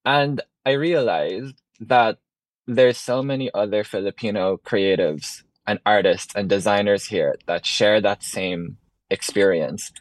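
A man's voice: on the low side, measured pace, no echo, rough recording. A young man from Ireland, a fashion design student, speaking earnestly as a radio interview guest.

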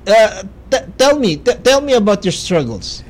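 Host, Filipino-accented man, high voice, halting delivery with fillers. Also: big pitch swings. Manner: halting, many fillers and restarts. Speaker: radio or show host. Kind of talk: interview in English with Tagalog.

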